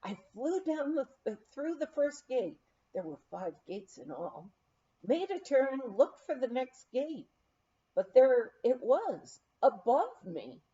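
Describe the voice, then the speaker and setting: A woman reads a memoir aloud in an expressive, measured storytelling manner, on a somewhat dull recording. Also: sits high. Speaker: audiobook reader.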